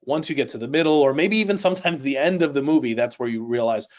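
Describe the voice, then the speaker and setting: A middle-aged man raised in Chicago, a native Polish speaker, teaches a live online class at a mid-range pitch. He talks in a relaxed, conversational way, tosses questions to his viewers and adds small jokes. The stream audio sounds a bit dull and lo-fi. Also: fast. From Chicago, United States. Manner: conversational, asks the audience questions, light jokes. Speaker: reading instructor leading a live online class. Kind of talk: live webinar instruction. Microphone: personal mic on a webcam live stream.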